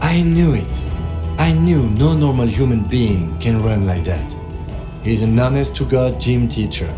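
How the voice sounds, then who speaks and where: An actor playing a smug, wordy police officer in a video game, amused and theatrical, with music behind him. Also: male voice, mid-range voice, expressive, measured pace, natural voice. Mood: amused, mocking.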